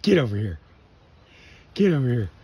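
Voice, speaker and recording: A man's voice: medium pitch, sing-song, normal speed, mellow, slightly rough. A man walking a coastal forest trail, narrating to himself and exclaiming in wonder at the drop below. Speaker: vlogger walking his dogs. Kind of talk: casual narration while hiking. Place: forest trail on sea cliffs, outdoors. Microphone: handheld phone. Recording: outdoor wind and ambient noise.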